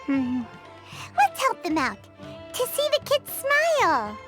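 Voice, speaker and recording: A woman voicing a tiny childlike fairy companion in an anime video game, chirpy and eager, with a squeaky voice. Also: huge pitch swings, slow pace, music in the background, full character voice. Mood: curious, eager. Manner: exclamatory, refers to self in third person.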